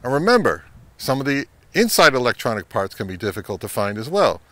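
A middle-aged man hosting an advice vlog, speaking to camera in an emphatic way with deliberate pauses. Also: medium pitch, sing-song, fairly bright tone. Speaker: host of an audio gear channel. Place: home listening room, later an open grassy field.

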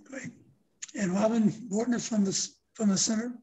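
A woman's voice: on the high side, normal speed, dark tone, slight background noise, low-quality recording. A woman moderating a video-call panel, giving brief introductions between speakers.

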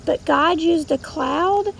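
A woman tells a simple story to children as their teacher. Her voice is high with a creaky edge, and she speaks slowly over faint background noise.

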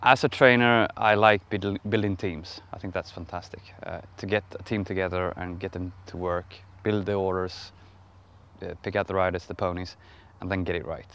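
A man with a Swedish accent, a riding team trainer, speaking enthusiastically in an interview and trailing off softly at the ends of phrases. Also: low-pitched, fairly even intonation, normal speed. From Sweden.

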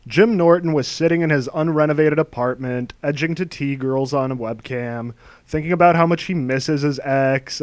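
A man's voice: medium pitch, smooth, dry recording. A man narrating a scripted commentary video in a scornful, mocking, ranting manner.

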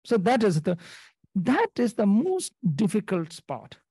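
A man's voice: sits high, wildly swooping pitch, creaky, warm tone, breathy edge, dry recording, clean studio sound. A man from Nepal teaching a dharma class over a video call, often restarting phrases and checking in with the listeners. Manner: halting, repeats phrases, checks in with "okay?". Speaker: Buddhist teacher.

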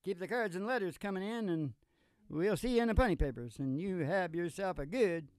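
A man's voice: sits high, animated, fairly quick, darkish tone, clean tone, dry recording. An older man hosting a country comedy podcast on a stage mic with folksy, playful, rambling banter. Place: small curtained stage studio, indoors. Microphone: stage microphone on a stand. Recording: live acoustic guitar under the voice. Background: acoustic guitar.

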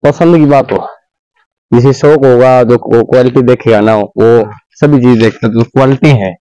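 A man's voice: mid-range voice, normal speed, mellow, no room sound, muffled recording. A young man with a Haryanvi accent answering interview questions outdoors in a plain, matter-of-fact way. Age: young adult. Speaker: buffalo seller. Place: outdoors in a village animal yard.

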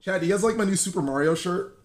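A man's voice: sits high, fast talker. An American man in his twenties chatting casually on a live stream, joking and teasing in slangy speech. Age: 20s. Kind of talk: casual chat with viewers and partner. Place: small bedroom, indoors.

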